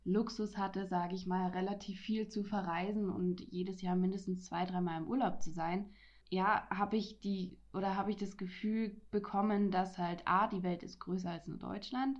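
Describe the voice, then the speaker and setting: A woman's voice: medium pitch, steady tone, moderate pace. A young woman from Franconia in Germany, co-hosting a podcast in a casual, reflective chat. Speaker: podcast co-host. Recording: dull, lo-fi podcast recording.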